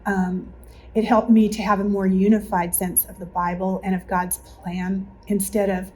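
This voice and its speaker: Woman interviewee, reflective church member, mellow delivery with little pitch movement. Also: flat delivery, moderate pace, rough recording. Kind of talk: reflective spoken recollection in a group interview. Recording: faint background noise.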